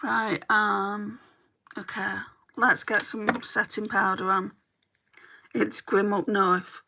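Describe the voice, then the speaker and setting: A woman with a northern English Lancashire accent talks her viewers through her makeup routine, replying to their comments as she goes. Her voice is bright and clear, and she takes her time, chatting at an unhurried pace. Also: medium pitch, level tone, slight background noise. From Lancashire, England. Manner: chatty, answers chat comments while doing makeup. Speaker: beauty streamer. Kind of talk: casual makeup chat with viewers.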